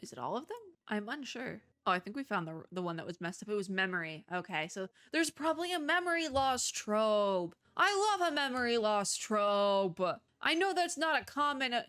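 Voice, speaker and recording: A woman's voice: a little high, expressive, normal speed, few pauses, fairly bright tone. A woman streamer giving casual, opinionated commentary as she plays a game, rambling in exasperated asides. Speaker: video game streamer, host. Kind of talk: casual gaming commentary while playing a demo.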